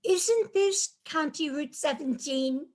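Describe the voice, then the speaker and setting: A woman's voice: high voice, slow pace. A woman playing a cheerful New York wife charmed by the countryside, chatty and dreamy, in a staged reading of a comic play. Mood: excited. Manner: chatty, dreamy, easily excited.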